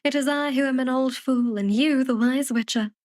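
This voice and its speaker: A woman voicing a garrulous old man from a storybook, fussy and self-deprecating, rambling on in an animated way. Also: high-pitched, normal speed, no room sound, clean studio sound, close to an everyday voice.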